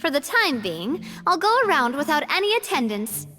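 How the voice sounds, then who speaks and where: A woman playing a brave, wistful young leader in a video game, speaking in an expressive, measured way. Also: sits high, heavily acted. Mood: friendly, composed. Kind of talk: scripted game dialogue. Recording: music behind the voice. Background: game music.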